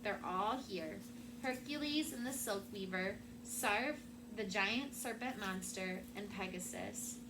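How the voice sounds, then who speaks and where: A wise enchantress from a children's storybook, read by a woman. She speaks at a medium pitch, calm and measured, with a reassuring manner. Noise is clearly audible in the recording. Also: natural voice. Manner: soft-spoken, measured, reassuring. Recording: clearly noisy room recording.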